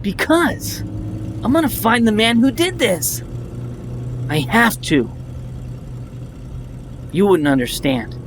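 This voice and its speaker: A man's voice: high-pitched, huge pitch swings, slow, pauses often, noisy background, exaggerated voice. A male actor playing an eager, earnest, wide-eyed orphan boy in an audio drama, with background ambience. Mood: determined.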